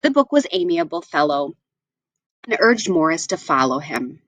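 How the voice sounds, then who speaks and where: Animated woman reading a storybook aloud. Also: deep voice, no room sound. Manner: expressive, with deliberate phrasing and asides to listeners. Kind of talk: read-aloud storytelling for children.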